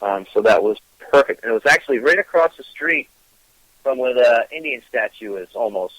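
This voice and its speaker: A man's voice: medium pitch, expressive, fairly quick, a bit bright, no echo, poor audio. Easygoing man with a Gloucester accent. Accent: Gloucester, Massachusetts. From Gloucester, Massachusetts. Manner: easygoing, many ums and uhs. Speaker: guest. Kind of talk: casual interview chat.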